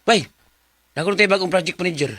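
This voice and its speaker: A man's voice: high-pitched, quick pace, over loud music, lightly acted. A man with a Cebuano Filipino accent playing a chummy, teasing office friend in a radio drama. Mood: chatty. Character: chummy, nosy male office buddy, teasing and frank.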